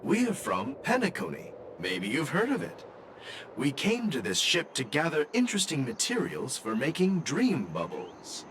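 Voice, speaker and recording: A man's voice: fairly high pitch, unhurried, slight background noise, exaggerated voice. A man voicing a friendly, enthusiastic tourist trader in a video game. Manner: animated, enthusiastic. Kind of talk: video game character dialogue. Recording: music behind the voice.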